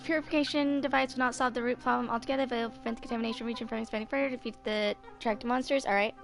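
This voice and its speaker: Fast, high-voiced young woman reading aloud. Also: fairly even intonation, music in the background. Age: 20s. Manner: hurried, stumbling reading. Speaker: gameplay video creator. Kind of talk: reading game quest text aloud. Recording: clear background noise with clicks.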